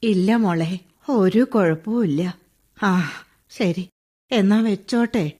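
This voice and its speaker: An actress playing an old bedridden mother in a radio play, speaking slowly in a husky, resigned voice. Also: medium pitch, dark tone. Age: older. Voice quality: husky, slow and heavy. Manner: resigned, tearful at times. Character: old bedridden widowed mother, weary and bitter. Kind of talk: radio drama acting.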